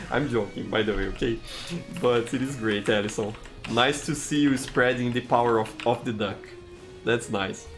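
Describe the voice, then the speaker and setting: Brazilian man chatting on a game stream. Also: mid-range voice. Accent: Brazilian Portuguese. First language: Portuguese. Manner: friendly, reads chat aloud, frequent laughs and stammered restarts. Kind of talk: casual live-stream chat while playing.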